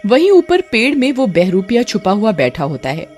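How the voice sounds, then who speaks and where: A woman's voice: medium pitch, big pitch swings, moderate pace, darkish tone, faint music behind. A woman giving scripted, dramatic narration of a story.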